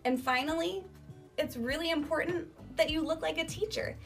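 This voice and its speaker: A woman's voice: high voice, moderate pace. A woman teacher giving an emphatic, instructional talk to young children, asking them questions as she goes. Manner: emphatic, animated teacher delivery with rhetorical questions. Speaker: elementary school teacher. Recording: distant microphone, music behind.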